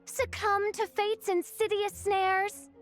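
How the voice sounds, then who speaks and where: High-pitched, pompous teenage dark princess. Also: female voice, flat delivery, takes it slowly, faint music behind. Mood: grandly lamenting. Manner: pompous, archaic, theatrical. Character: grandiose theatrical teenage self-styled dark princess. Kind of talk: video game character voice acting.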